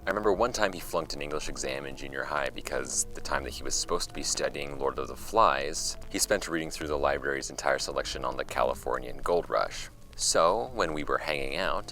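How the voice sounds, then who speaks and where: Guy playing a wry podcast archive host, super low bright voice, measured storytelling, audio drama thing. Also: heavily acted. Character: wry male archive host reading old case files aloud. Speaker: host of a fictional case-file podcast. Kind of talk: scripted audio drama narration. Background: music under the voice.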